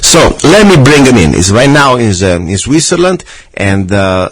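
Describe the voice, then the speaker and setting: A man's voice: medium pitch, big pitch swings, normal speed. Chatty male radio host. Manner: chatty, with frequent restarts and fillers. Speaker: radio talk show host. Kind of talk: casual radio interview.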